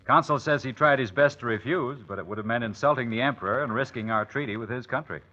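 An actor playing a president's respectful young son and aide, businesslike with dry wit, in an old-time radio drama. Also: male voice, mid-range voice, fast talker, pushed and bright. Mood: dryly amused. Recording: dull, lo-fi old radio recording.

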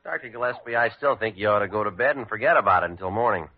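An actor playing a patient, reasonable radio operator in an old radio drama. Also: male voice, fairly low pitch, rapid-fire, close to an everyday voice. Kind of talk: 1940s radio drama acting. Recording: thin, phone-like old radio recording.

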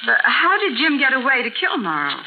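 A woman's voice: high voice, very animated, a little fast, vocal fry, exaggerated voice. A woman playing an inquisitive, friendly detective's assistant in a 1940s radio drama. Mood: curious, friendly. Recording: tinny, noisy 1940s radio recording.

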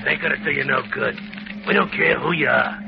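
A gravelly sneering radio thug. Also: male voice, on the high side, brisk, background noise. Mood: menacing. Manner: sneering, taunting. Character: menacing gravelly waterfront thug with gun. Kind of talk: 1940s radio acting. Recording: dull old radio recording with music.

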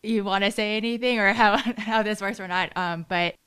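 A woman's voice: medium pitch, a bit bright, smooth. A woman hosting a podcast, wrapping up an interview with friendly thanks and laughter. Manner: friendly, giggly, quick thanks. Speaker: podcast host. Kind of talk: casual interview.

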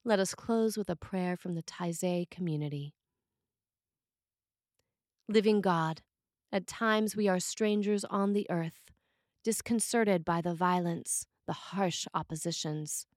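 A woman priest reading a scripted sermon in measured, deliberate phrases. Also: on the low side, no echo, clean studio sound.

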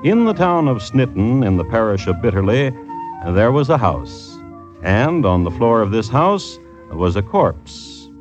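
A man narrating a scripted 1950s radio crime show as host, with droll, deadpan irony. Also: fairly low pitch, a little slow, over music. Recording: dull old radio recording.

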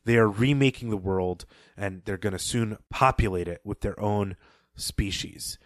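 A man co-hosting a podcast, casually discussing a story and recapping its plot in a thoughtful, measured way, with soft laughs. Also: fairly low pitch, no room sound, studio quality. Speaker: podcast co-host.

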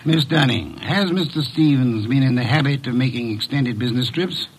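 An actor playing a curt, imperious gourmet detective boss in a classic radio drama, with pompous, precise, formal diction. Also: male voice, mid-range voice, moderate pace, a little hiss or hum, close to an everyday voice, rough recording. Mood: curt, dismissive.

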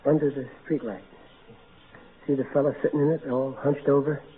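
A man's voice: mid-range voice, little pitch movement, normal speed, lightly acted. Actor as a folksy guy, tinny old radio. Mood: gentle, somber. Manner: folksy, ungrammatical, earnest, blustery. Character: big-hearted, folksy, exasperated working-class everyman. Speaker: actor in a radio comedy-drama. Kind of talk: old-time American radio acting. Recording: narrow tinny vintage radio broadcast, hissy.

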